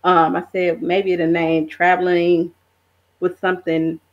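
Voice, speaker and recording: Muffled lo-fi audio, middle aged woman guest on a podcast, lowish voice, casual and kinda halting. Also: completely flat delivery. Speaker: podcast guest, travel content creator. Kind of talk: casual interview chat.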